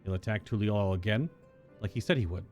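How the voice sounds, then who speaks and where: A man's voice: fairly low pitch, fairly quick, darkish tone, natural voice. Man reading as an earnest, resolute young royal. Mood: determined. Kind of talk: acted reading of video game dialogue. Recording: game music under the voice.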